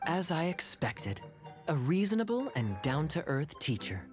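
A man playing a suave, sly, teasing young knight with a smooth-talking manner in a video game. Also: fairly high pitch, big pitch swings, unhurried. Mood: sly, amused. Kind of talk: video game character acting. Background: music.

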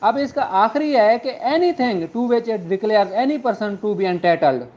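A man's voice: sits high, slow. A man with a Pakistani accent lecturing on law in a didactic, explanatory way, mixing in English terms. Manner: didactic, switching between Urdu and English legal terms. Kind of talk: explanatory lecture, reading statute aloud and explaining it. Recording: dull lo-fi recording.

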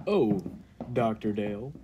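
A man playing an earnest, persuasive laboratory assistant in 1940s radio style, with a husky voice. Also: fairly low pitch, expressive, unhurried, dull audio, background noise. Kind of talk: 1940s radio drama acting.

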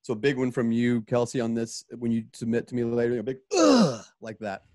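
A man with a medium-pitched voice casually directs actors and describes sound cues. He mouths effects as he goes. The sound is close and dry. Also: a little fast, dry recording. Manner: informal, animated with spoken sound effects.

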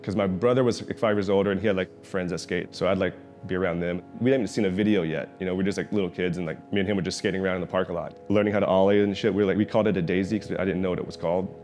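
A man's voice: deep voice, fairly even intonation, racing, hardly any pauses, darkish tone, faint music behind. A middle-aged man from Oklahoma City whose first language was Vietnamese, telling his skating story in a loose, laid-back way, full of "like" and swearing. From Oklahoma City, USA. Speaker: professional skater, interviewee. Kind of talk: casual interview storytelling. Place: his restaurant.

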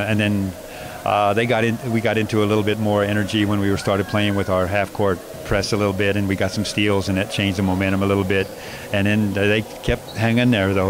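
Coach guy talking after a game, low monotone voice, lots of uhs and restarts, noisy spot behind him. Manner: measured, hesitant with many restarts and fillers. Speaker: basketball coach. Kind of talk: postgame interview. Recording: noisy background.

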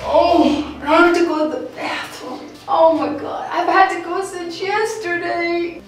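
A woman's voice: extremely high pitch, steady tone, a little slow. An actress playing a frightened, foul-mouthed woman held captive in a film, blunt and emotional. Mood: nauseated, distraught. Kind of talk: dramatic film acting. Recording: echoey bare room, distant mic, music.

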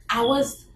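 Animated Haitian woman vlogging in an echoey room. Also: a little hiss or hum. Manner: animated, laughing, rhetorical questions. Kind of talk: casual storytelling to camera. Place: small indoor room. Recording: roomy echo, slightly distant mic.

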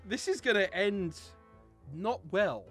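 A man hosting a casual word game with friends, chatting in an animated, jokey way. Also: high-pitched, takes it slowly, faint music behind. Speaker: host running a word game. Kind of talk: casual chat with friends in a group gaming session.

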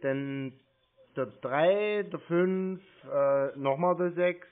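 A man's voice: on the high side, extremely slow, smooth. Tinny phone voice of a rambling German man. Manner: playing dim, rambling, crude. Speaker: prank caller baiting phone scammers. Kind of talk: improvised prank phone call. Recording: narrow, tinny phone line.

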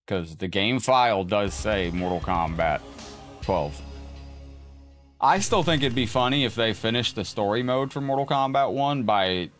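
A man hosting a live gaming stream, chatting loosely with viewers and rambling between thoughts, his throat going hoarse. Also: fairly low pitch, expressive, a little slow. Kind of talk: casual live-stream chat while gaming. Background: loud game music.

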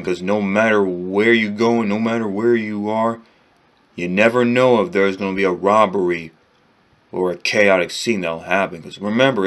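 A young man vlogging to camera from a small bedroom, slowly and plainly retelling events on a close webcam. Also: low voice, a bit bright. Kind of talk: casual vlog to camera.

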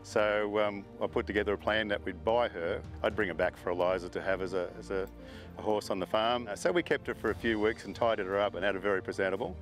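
A man's voice: lowish voice, quick pace, faint music behind. A middle-aged man, a horse farm owner, talking casually in an interview, rambling with fillers and restarts.

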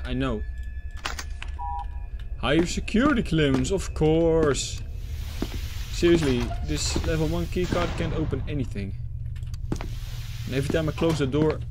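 A man's voice: fairly high pitch, background noise. A young man with a Dutch accent, commentating a horror game as he plays, jumpy and swearing when startled. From Netherlands. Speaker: gaming YouTuber.

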